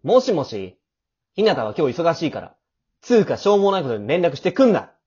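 Woman acting a husky, possessive teenage boy. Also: low voice, very animated, brisk, a little mellow, full character voice. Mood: jealous, curt. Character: clingy, teasing, possessive younger teenage boy in love. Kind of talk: amateur romantic audio drama acting. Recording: dull, lo-fi home recording.

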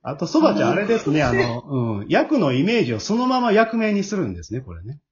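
A man's voice: a little high, wildly swooping pitch, fast talker, a crowd in the background. A man hosting a casual chat show, using polite announcer-style phrasing and reading out messages. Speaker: host. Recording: muffled lo-fi audio with background noise.

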